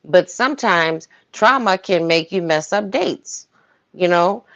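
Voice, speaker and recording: A woman's voice: on the low side, expressive, slow pace, fairly bright tone, no echo. A woman giving casual, opinionated commentary on a livestream panel, thinking aloud and circling back over her phrases as she goes. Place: remote livestream call, dry sound. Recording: muffled lo-fi livestream audio.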